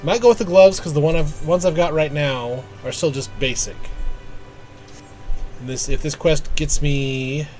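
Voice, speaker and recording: A man giving casual live game commentary, thinking aloud in halting fragments as he plays. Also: a little high, a little mellow, faint music behind. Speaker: game streamer.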